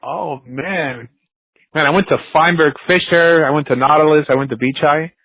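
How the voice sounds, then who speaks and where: A man's voice: a little high, moderate pace, no room sound, dull audio. A man, casually chatting and telling stories as host of a talk. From United States. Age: 40s. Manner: relaxed, chatty, storytelling. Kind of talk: casual conversation.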